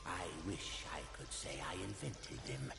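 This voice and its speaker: An actor playing a menacing, courteous middle-aged villain in a video game, gravelly, slow and deliberate, silkily polite and condescending. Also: male voice, deep voice, big pitch swings, full character voice. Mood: smooth, condescending. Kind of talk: video game character acting. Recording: echoey hall reverb, faint music behind.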